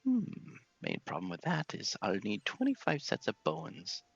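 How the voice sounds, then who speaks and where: A man's voice: wildly swooping pitch, normal speed, gravelly, breathy edge, no room sound, highs cut off, exaggerated voice. A man voicing a befuddled, pompous, scatterbrained old wizard from a fantasy game. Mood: musing, scatterbrained. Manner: pompous, befuddled, swooping into high pitch. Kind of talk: game dialogue read in character.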